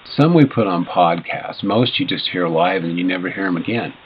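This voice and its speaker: A man's voice: sits low, normal speed. A man hosting a live radio show in a casual, rambling, jokey way, with hesitations and frequent chuckles. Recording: dull lo-fi radio sound, clear background noise.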